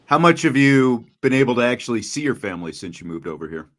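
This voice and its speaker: A man at a middle pitch hosts an interview, circling around his questions with restarts and fillers. He sounds conversational and easygoing. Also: sing-song, quick pace, fairly bright tone. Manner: rambling questions with repeated restarts. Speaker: interviewer, radio play-by-play announcer.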